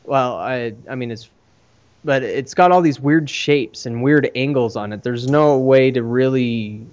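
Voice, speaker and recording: Podcast host, male, clean smooth voice, halting rambling storyteller, slightly dull lo-fi recording. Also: mid-range voice, darkish tone. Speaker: podcast host and arcade owner. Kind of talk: casual podcast chat.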